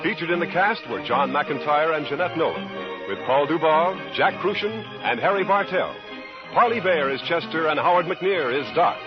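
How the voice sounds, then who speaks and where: A man's voice: fairly high pitch, lively intonation, normal speed. A man with an American accent announcing in a 1950s radio style. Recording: muffled vintage radio broadcast. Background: music.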